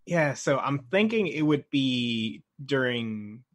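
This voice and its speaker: A man's voice: medium pitch, lively intonation, slow. A man co-hosting a casual chat about games and movies, conversational and thinking aloud as he goes. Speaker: podcast co-host.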